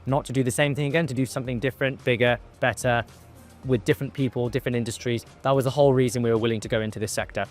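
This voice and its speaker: A man's voice: medium pitch, fairly even intonation, racing, soft background music. A man who founded a company, speaking bluntly and informally on a casual panel.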